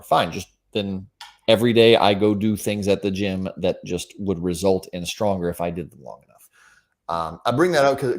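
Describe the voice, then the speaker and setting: A man in his forties talks casually as a podcast co-host. His voice sits on the low side. He speaks in a halting way, working out his thoughts aloud. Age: 40s. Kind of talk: casual fitness podcast discussion.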